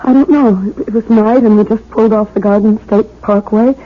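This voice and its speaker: An actress playing a fragile, anxious young bride in a 1970s radio drama, speaking in a halting, plaintive way. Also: female voice, medium pitch, fairly even intonation, natural voice. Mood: plaintive, insistent. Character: fragile, anxious young bride doubting her own sanity. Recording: muffled old radio broadcast.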